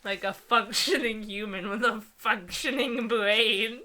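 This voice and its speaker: Bright-voiced young woman, sarcastic and giggly. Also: on the high side, measured pace. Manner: sarcastic, giggly, often stumbles while reading. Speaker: co-host. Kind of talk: mocking read-aloud with casual banter.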